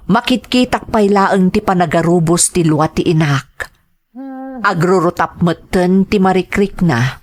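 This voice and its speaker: A grown child , voiced by a woman recounts painful family memories in an audio drama. The voice is slightly low, with reflective, resentful storytelling. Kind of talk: first-person audio drama narration.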